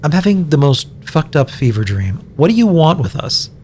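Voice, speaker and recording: A man's voice: fairly high pitch, big pitch swings, normal speed, a little mellow, a little hiss or hum. A man playing a foul-mouthed, bewildered young captive with a dry, sardonic manner in an audio drama. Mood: wry, baffled.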